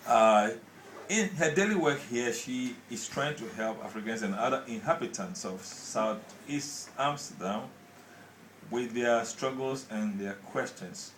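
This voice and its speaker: A man's voice: medium pitch, animated, measured pace, fairly bright tone, reverberant, a little hiss or hum, low-quality recording. A man hosting a radio interview, reading an introduction with many hesitations and fillers. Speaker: radio show host.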